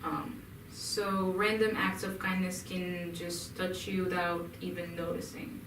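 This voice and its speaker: A young woman from Egypt answering interview questions in a hesitant way, with many pauses and restarts. She is recorded in an echoey room with the mic some distance away. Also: on the low side, flat delivery, noisy background. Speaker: interview guest.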